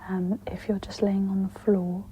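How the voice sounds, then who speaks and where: A woman speaks in a whispery, breathy voice, gently guiding a meditation in a soft-spoken ASMR style. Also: medium pitch, level tone. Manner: slow, gentle, instructional. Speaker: host of guided meditation ASMR. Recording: dull lo-fi with audible background noise.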